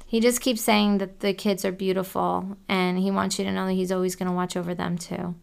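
Woman, medium, psychic reading, fry in the voice, halting delivery. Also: medium pitch, level tone. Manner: relays messages in halting bursts.

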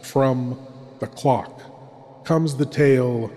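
A man playing a sinister, theatrical horror host lord, speaking with slow, intimate, dramatic deliberation. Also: medium pitch, mellow. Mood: ominous. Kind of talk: theatrical horror anthology introduction. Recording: long cavernous reverb, faint noise.

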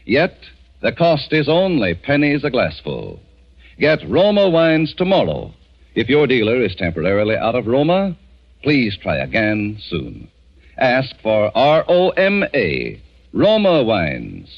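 Animated American announcer, muffled vintage radio. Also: male voice, mid-range voice, measured pace. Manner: polished, emphatic, salesmanlike. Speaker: commercial announcer. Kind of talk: 1940s radio advertisement, scripted. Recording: muffled 1940s radio broadcast.

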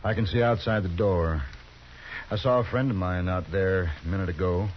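An actor playing a calm, quietly threatening outlaw boss on an old 1950s radio drama. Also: male voice, low voice, moderate pace, close to an everyday voice. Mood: menacing. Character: cool, menacing outlaw boss. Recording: dull hissy 1950s radio broadcast.

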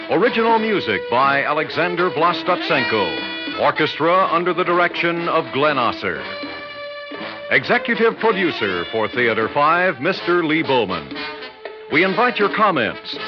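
A man announcing radio drama credits in a crisp, measured delivery, his pitch slightly high and rising and falling lively. Orchestral music plays clearly beneath him on an old, dull broadcast recording.

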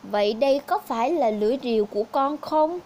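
A woman voicing a kind, gentle old man from a fairy tale, speaking slowly in a fatherly way. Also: sits high, expressive, slight background noise. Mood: kindly questioning.

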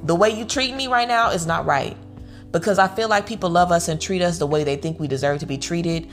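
A woman with an American accent and a fairly low voice talks like an online advice host. She gives a blunt, informal pep talk, repeating phrases for emphasis. Soft music plays faintly behind her. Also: brisk. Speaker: online community host giving self-worth advice.

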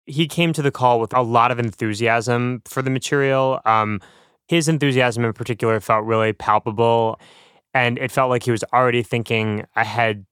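A man's voice: medium pitch, steady tone, normal speed, fairly bright tone, clear, resonant tone, no echo, studio quality. A man being interviewed, explaining and reflecting in plain conversational speech.

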